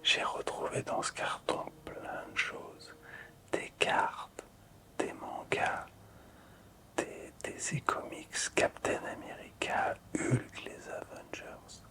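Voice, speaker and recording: A man speaks entirely in a breathy, unvoiced whisper. He presents items in an ASMR style, soft and hesitant, lingering on words and repeating them. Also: takes it slowly. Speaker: ASMR video host.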